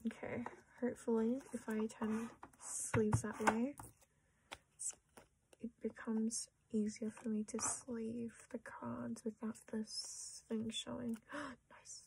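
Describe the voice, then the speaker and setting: A young Australian woman chatting quietly to camera while sorting her collection, often dropping into a half-whisper and poking fun at herself. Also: a little high, gravelly, slight background noise, rough recording. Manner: soft-spoken, often half-whispering, self-deprecating. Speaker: collecting vlogger. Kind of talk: casual chat while sorting cards.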